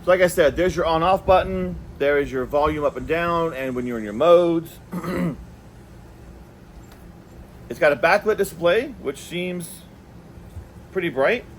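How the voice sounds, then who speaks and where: A man giving a casual hands-on product review, talking in a halting way and repeating words as he goes. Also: on the high side, slight background noise.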